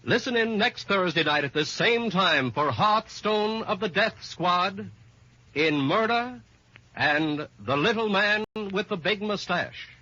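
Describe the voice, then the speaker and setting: A man's voice: high voice, expressive, takes it slowly, sharp tone. An American man announcing in formal, emphatic, scripted 1950s radio style. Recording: muffled, lo-fi old radio recording.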